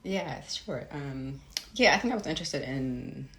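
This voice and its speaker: A woman's voice: extremely low pitch, lively intonation, measured pace, a bit bright. A woman giving a reflective, conversational interview as a novelist, thoughtful and hesitant, circling back as she builds her ideas. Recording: roomy, echoey sound.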